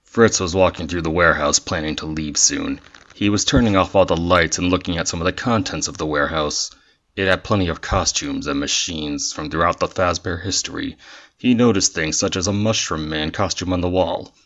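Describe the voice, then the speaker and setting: A man's voice: deep voice, fairly quick, a bit bright, muffled recording. A man reading scripted story narration for an audio drama.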